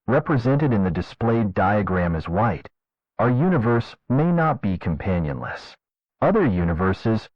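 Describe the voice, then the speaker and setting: A man narrating a fictional scientific government report in a formal, measured, clinical manner. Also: fairly low pitch, a little mellow, no room sound. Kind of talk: scripted documentary narration, analog horror. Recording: dull lo-fi narration track.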